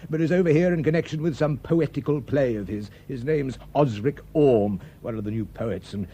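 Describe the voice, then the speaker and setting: An actor playing a chatty, self-assured police detective with a British accent, discursive and opinionated in radio drama dialogue. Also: male voice, medium pitch, normal speed, dark tone, slightly rough, close to an everyday voice.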